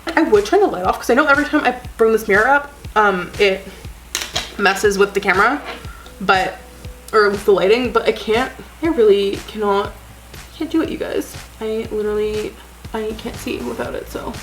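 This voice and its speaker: A woman's voice: mid-range voice, normal speed, rough recording. A woman vlogging at home in a basement, rambling and self-deprecating with lots of "like", a bit sniffly, with faint music behind. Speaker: beauty vlogger. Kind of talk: casual self-deprecating chat to camera. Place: basement room at home, indoors. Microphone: camera on a desk with ring light.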